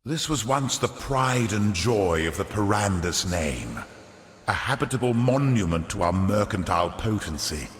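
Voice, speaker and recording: Wily aged aristocrat trader, video game monologue, pompous ornate delivery, lowish voice, echoing great hall. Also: male voice, expressive, measured pace. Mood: wistful, grandiose. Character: wily, vain old merchant lord, centuries old. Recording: echoey hall with long reverb.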